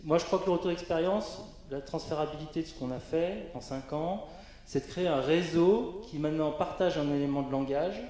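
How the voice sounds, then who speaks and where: A man's voice: a little high, brisk, mellow. Man speaking in a very echoey hall. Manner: hesitant, frequent fillers and restarts. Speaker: panel speaker. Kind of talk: conference panel presentation.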